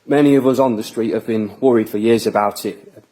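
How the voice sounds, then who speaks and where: A man's voice: on the low side, a little fast, warm tone, lightly acted, rough recording. An actor playing a hesitant, ailing male resident in an audio drama. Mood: hesitant, worried. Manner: hesitant, reluctant. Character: uneasy, ailing male resident living alone. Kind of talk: naturalistic audio drama acting.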